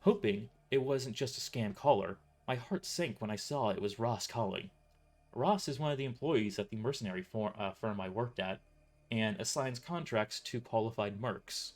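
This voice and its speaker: A man's voice: on the low side, normal speed, soft background music. A man narrating his own story in the first person as a scripted audio drama. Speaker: author and narrator.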